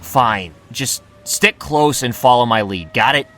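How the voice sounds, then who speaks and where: A man playing a gruff, impatient 1920s police detective in a radio drama, curt and commanding. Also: mid-range voice, a little slow, fairly bright tone, natural voice. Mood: guarded, firm.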